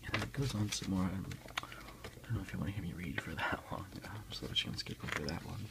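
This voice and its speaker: A man delivers soft ASMR in a hushed half-whisper, close to the mic. His low voice is heavily creaky, crackling with fry as he reads aloud and comments at an unhurried pace. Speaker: ASMR video creator.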